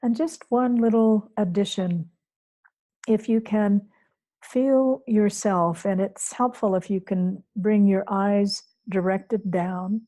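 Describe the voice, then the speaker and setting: A woman teacher leading a guided meditation in a soothing, unhurried way, leaving long pauses between phrases. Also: mid-range voice, dark tone, low-quality recording. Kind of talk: guided meditation, spoken off the cuff to a group.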